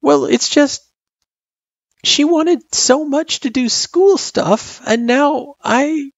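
Male reader as young girl, sweet and unsure, high halting voice, dry sound, for narrated fiction. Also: gravelly, dry recording, dull audio, full character voice. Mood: worried. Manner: hesitant, halting, plaintive. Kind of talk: character voice in story narration.

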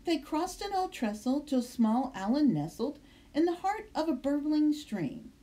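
High, lively woman reading to children. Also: normal speed. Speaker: storybook reader. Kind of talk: expressive children's read-aloud of rhyming story. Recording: roomy, echoing indoor sound.

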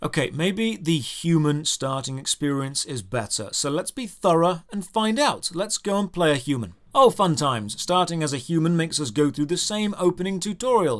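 Man, higher-pitched voice, very varied intonation, sarcastic, exasperated, scripted game review narration. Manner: sarcastic, exasperated, emphatic delivery. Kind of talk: scripted comedic review narration over gameplay.

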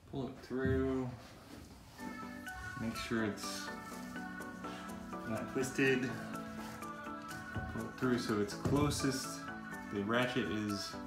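A middle-aged man giving casual, halting, unscripted how-to narration while thinking aloud. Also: medium pitch. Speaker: vlogger demonstrating a DIY setup. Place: indoors in a cluttered home garage. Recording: echoey garage, faint music.

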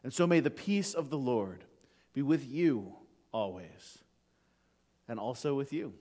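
A middle-aged man as pastor welcoming people to worship, friendly and halting, with many fillers, standing in a church sanctuary. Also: medium pitch, expressive, warm tone. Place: church sanctuary before the altar. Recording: sanctuary with long reverb.

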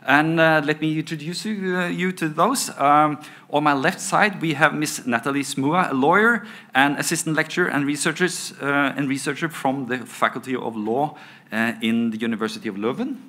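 A man's voice: a little high, moderate pace, bright, forceful tone. A middle-aged man introducing a conference panel from notes, with frequent fillers and restarts. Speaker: panel moderator. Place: conference panel stage indoors. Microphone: table microphone on stand.

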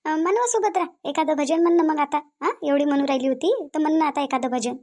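Casting: woman playing a fussy older village hostess, high voice, Vidarbha Marathi accent, cartoon. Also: fast talker, a little mellow. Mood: welcoming. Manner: fussy, welcoming, hurrying guests along. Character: bustling older village hostess, a mother-in-law. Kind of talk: cartoon voice acting.

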